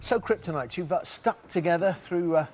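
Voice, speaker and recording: A man's voice: high voice, moderate pace, a little hiss or hum. A man hosting a television quiz show, chatty and jokey, with frequent fillers.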